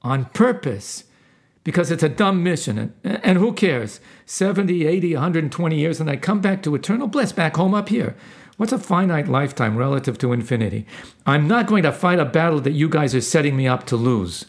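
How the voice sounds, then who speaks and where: A man putting on a high, whiny young soul. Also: animated, a little fast. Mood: frantic. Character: panicky defiant young soul refusing its mission.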